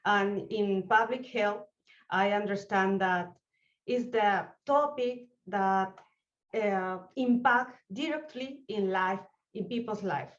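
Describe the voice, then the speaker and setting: A woman, speaking as a journalist and searching carefully for words, in dull low-bandwidth audio. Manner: halting, careful word-finding. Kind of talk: interview answer.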